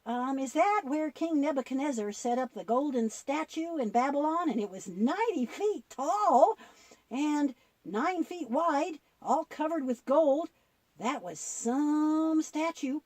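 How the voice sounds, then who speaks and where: A woman's voice: sits high, animated, measured pace, a little mellow, no echo. A woman voicing a goofy, curious little boy puppet in a children's puppet show, wide-eyed and full of questions and exclamations.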